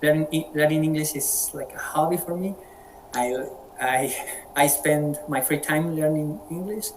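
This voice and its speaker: Colombian man moderating, halting and repetitive. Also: a little high, slight background noise, low-quality recording. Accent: Colombian Spanish. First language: Spanish. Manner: friendly, halting with frequent word repeats. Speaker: meeting moderator. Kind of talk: casual online group chat. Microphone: video call.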